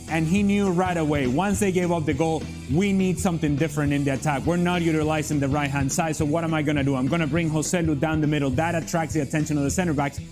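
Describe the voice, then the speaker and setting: A male studio analyst lays out a coach's tactics step by step, in a calm, explanatory broadcast tone. His voice sits a little high. Faint music plays softly under him. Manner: measured, explanatory. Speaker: TV football analyst.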